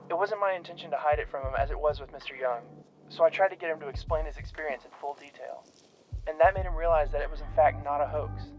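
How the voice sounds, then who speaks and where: A muffled, radio-like tape carries an actor as an earnest male investigator in an audio drama. He recounts things formally and in detail, at a slightly high pitch and a brisk pace. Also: darkish tone, lightly acted. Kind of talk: audio drama monologue, taped account.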